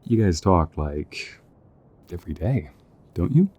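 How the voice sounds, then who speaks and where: Man acting a deep, husky young soldier, affectionate. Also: big pitch swings, unhurried, no room sound, exaggerated voice. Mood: warm, reminiscing. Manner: gentle, affectionate, hesitant, chuckling conversational delivery. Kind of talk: intimate audio roleplay acting.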